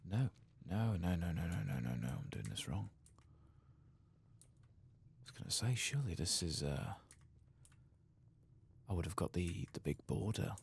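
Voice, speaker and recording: A man giving soft-spoken ASMR commentary on a video game, in a breathy voice that often drops to a whisper. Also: low voice, animated, moderate pace, long pauses.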